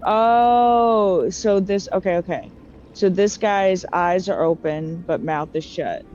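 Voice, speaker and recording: A woman's voice: mid-range voice, slow pace, darkish tone. A woman playing a tabletop game, chatting casually in an emphatic, repetitive way. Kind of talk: casual roleplay chat. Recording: dull audio, faint noise.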